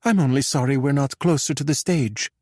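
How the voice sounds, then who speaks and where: A man's voice: medium pitch, a little fast, darkish tone, no room sound, pitched above the performer's own voice, close to an everyday voice. A man voicing a playful, teasing wife with a wry manner in an audiobook.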